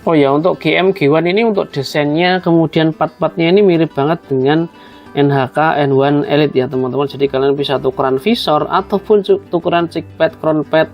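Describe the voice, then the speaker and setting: A man's voice: a little high, fairly quick, muffled recording, soft background music. A man explains a hands-on job step by step in a casual, conversational way, addressing viewers as friends. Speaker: host of a tutorial video.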